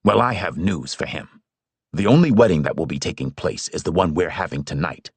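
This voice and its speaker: A man's voice: sits low, expressive, brisk, husky, dry recording, heavily acted. A man reading aloud, voicing a stern, commanding older father who rules his family firmly.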